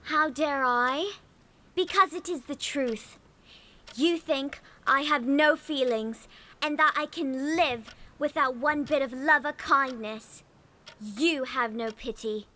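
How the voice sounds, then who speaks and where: A woman's voice: sits high, slow pace, pushed and bright, heavily acted. A woman playing a passionate, outspoken young orphan girl in an audio drama. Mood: angry, defiant. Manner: vehement, outspoken.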